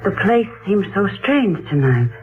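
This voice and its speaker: An animated American woman hostess in a 1940s radio thriller. She is gracious yet uneasy, with very expressive pitch. The old recording is thin and narrow, with music clearly behind. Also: measured pace. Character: hospitable, uneasy woman hosting New Year's Eve.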